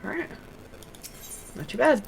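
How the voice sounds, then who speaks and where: A woman's voice: measured pace, slight background noise. A woman streamer giving casual commentary while playing a video game, muttering to herself as she plays.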